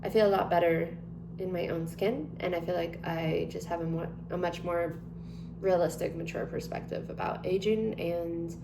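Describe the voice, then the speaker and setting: Young woman in her twenties, low-pitched, rambling casual vlog chat, echoey room with a steady hum. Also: normal speed, gravelly. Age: 20s. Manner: chatty, rambling with many ums and likes. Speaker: vlogger.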